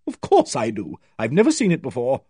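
A man's voice: mid-range voice, wildly swooping pitch, brisk, slightly rough, no echo, studio quality. A man voicing a hearty, emphatic woman of strong feeling in an audiobook. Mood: indignant denial. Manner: loud, exclamatory, emphatic. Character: hearty, booming, defiant woman who breaks into anguish. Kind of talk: audiobook character voice.

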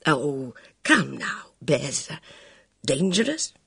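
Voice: medium pitch, expressive, husky, no room sound, clean studio sound, pitched above the performer's own voice, heavily acted. A reader voicing a hesitant, kindly older military man who stammers and halts between words. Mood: flustered. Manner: stammering, halting, full of fillers.

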